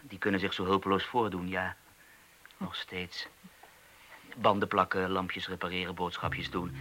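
A man's voice: deep voice, level tone, measured pace, bright, forceful tone, slight background noise. An actor with a Netherlands Dutch accent playing a world-weary, bitter man in a radio drama, speaking in clipped, sardonic phrases. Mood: guarded. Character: bitter, blunt, world-weary ex-psychiatric patient, man over thirty.

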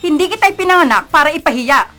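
A woman's voice: high voice, lively intonation, fast talker, soft background music. A woman playing an indignant, fiercely protective mother in a radio drama. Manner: forceful, outraged.

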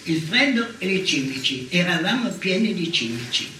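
Bright, sharp older Italian woman, echoey. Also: lowish voice, big pitch swings, moderate pace. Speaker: former partisan giving testimony. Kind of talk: personal oral-history testimony. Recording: echoey room, distant mic, faint noise.

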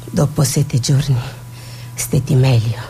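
Actress as low, dark-voiced, wounded Somali woman. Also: slow, gravelly, a little hiss or hum, exaggerated voice. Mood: anguished, haunted. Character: Somali woman, scarred by suffering, defiant and mocking. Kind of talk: stage monologue and dramatic dialogue.

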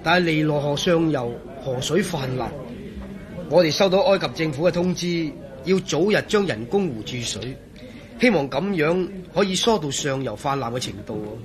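Easygoing engineer friend male actor, Hong Kong Cantonese radio drama. Slightly high voice, chummy and expressive, over clear crowd chatter. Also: normal speed, natural voice. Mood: earnest.

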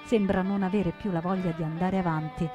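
A woman delivering solemn, emphatic scripted narration for radio theatre, with deliberate dramatic phrasing. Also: lowish voice, steady tone, warm tone, muffled recording, faint music behind. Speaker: actress and narrator.

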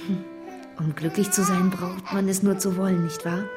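A woman's voice: fairly low pitch, fairly even intonation, moderate pace, over music, natural voice. An actress playing a tender, happy young wife and mother in a radio play. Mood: relieved, tender.

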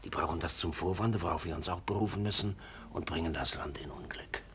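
An actor playing a gruff, worried, respectable guild carpenter in a theatrical 1940s radio play, blunt and grumbling. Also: male voice, deep voice, brisk, lightly acted. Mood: anxious grumbling. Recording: faintly noisy old radio recording.

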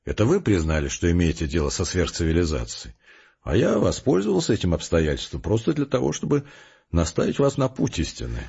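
An actor playing a pedantic, ironic, unflappable intellectual skeptic in a Russian radio drama, speaking precisely. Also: male voice, low voice, huge pitch swings, brisk, gravelly, darkish tone, breathy edge, lo-fi sound, full character voice. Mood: lecturing.

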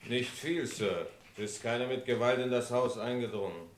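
An actor playing a dutiful police sergeant in an old German radio crime drama, clipped and deferential. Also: male voice, medium pitch, moderate pace, a little hiss or hum, natural voice. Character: dutiful male police sergeant reporting to his captain.

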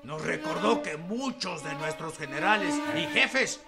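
An actor playing a sober, questioning Mexican revolutionary soldier in a radio drama, with a creaky, deliberate, challenging delivery. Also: male voice, fairly high pitch, expressive, over loud music. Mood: reproachful.

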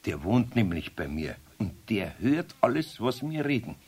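Casting: older Bavarian craftsman for children's radio play, husky, very animated, grumbly yet kind. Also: fairly low pitch, moderate pace. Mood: kindly. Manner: grumbling, colloquial, expressive. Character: grumbly but kindly older male master craftsman.

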